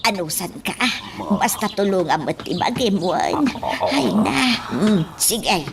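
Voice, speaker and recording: A woman's voice: medium pitch, big pitch swings, slow pace, gravelly, exaggerated voice. A woman acting in an Ilocano radio drama as a fond, amused caregiver, musing and coaxing, on a noisy track that sounds a bit distant. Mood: coaxing, encouraging.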